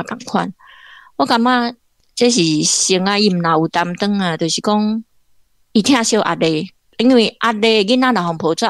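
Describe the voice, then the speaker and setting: A woman's voice: lowish voice, no echo. A woman with a Taiwanese accent giving conversational literary commentary in an explanatory way, like a guest academic.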